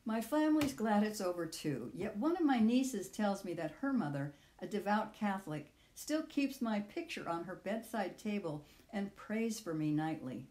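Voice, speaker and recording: Older woman, mellow voice, echoey room. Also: medium pitch, expressive. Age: 60s or older. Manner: measured, literary, deliberate phrasing with pauses in lists. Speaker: author reading own audio essay. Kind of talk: reflective personal essay read aloud.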